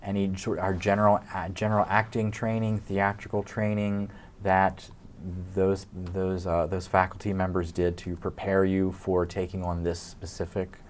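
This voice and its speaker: Man, deep voice, interview host, deliberate, halting phrasing. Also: flat delivery, a little hiss or hum. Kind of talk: interview questioning.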